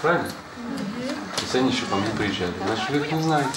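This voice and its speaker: A man's voice: medium pitch, huge pitch swings, fast talker. A man whose first language is Portuguese, a former student talking casually with a class of schoolchildren, patiently explaining things and asking them questions back. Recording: echoey room with background noise.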